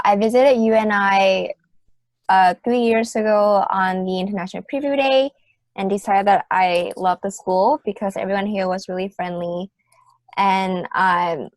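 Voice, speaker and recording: A woman's voice: mid-range voice, fairly even intonation, moderate pace, no room sound. A woman in her early twenties from Vietnam with a Vietnamese accent, giving informal answers as a student panelist. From Hanoi, Vietnam. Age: early 20s.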